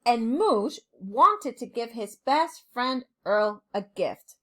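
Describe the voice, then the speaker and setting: Slow, high-voiced woman reading a storybook. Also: animated. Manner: deliberate, dramatic pauses for young listeners. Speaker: teacher reading a storybook to children. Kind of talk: expressive children's storybook read-aloud.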